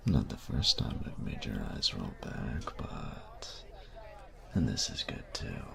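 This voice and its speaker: A man playing a cocky, taunting, domineering young bully in an intimate audio roleplay, speaking in a breathy, gravelly half-whisper with slow, teasing menace. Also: very deep voice, big pitch swings, a crowd in the background, heavily acted. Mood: smug teasing. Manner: slow, teasing, menacing delivery with drawn-out pauses.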